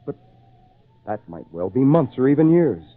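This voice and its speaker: An actor playing a lonely shipwrecked man who tells his own tale in earnest, theatrical, measured phrases with dramatic pauses, in old-time radio style. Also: mid-range voice, big pitch swings. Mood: bleak, resigned. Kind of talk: old-time radio drama acting, first-person narration. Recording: tinny, band-limited old radio recording, faint noise.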